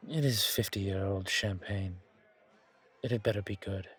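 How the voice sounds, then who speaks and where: A man playing a suave, centuries-old vampire in a horror ASMR roleplay, languid and genteel with quiet menace and a husky, breathy voice. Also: on the low side, unhurried.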